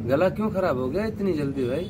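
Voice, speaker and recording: A man giving a scornful, heated commentary, now muttering and now bursting out loudly. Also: fairly high pitch, expressive, quick pace, background noise. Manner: scornful and heated, rising to loud outbursts. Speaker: online commentator. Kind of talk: ranting video commentary.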